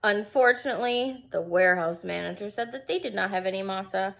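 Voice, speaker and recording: A woman's voice: medium pitch, brisk, vocal fry. A woman reading a picture book aloud to young children, expressively and with casual asides. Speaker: preschool storyteller.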